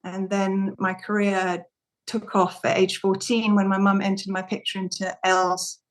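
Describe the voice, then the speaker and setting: Woman, 50s, raised in Singapore and Indonesia; gentle, reflective podcast guest, mid-range voice. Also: medium pitch, flat delivery, normal speed, poor audio. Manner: gentle, reflective, with frequent restarts. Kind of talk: casual interview talk.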